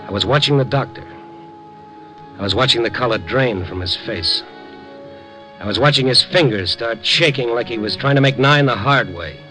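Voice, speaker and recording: A man's voice: mid-range voice, natural voice. An actor playing a wry, skeptical younger newspaperman in a 1950s radio drama, informal and slangy. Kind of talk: 1950s radio drama acting with first-person narration.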